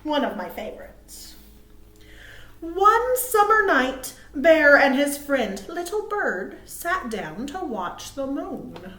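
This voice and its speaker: A woman reading aloud to small children as a storytime host, cheerful and expressive. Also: high-pitched, slow. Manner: cheerful, expressive, asks listeners questions. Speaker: children's librarian hosting storytime. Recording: roomy, echoey sound.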